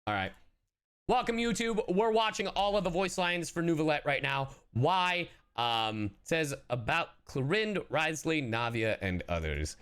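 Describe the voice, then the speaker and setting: Animated, irreverent male streamer. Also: on the high side, measured pace, clean tone. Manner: irreverent, joking, heavy swearing. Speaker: streamer, reaction host. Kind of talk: casual livestream reaction commentary.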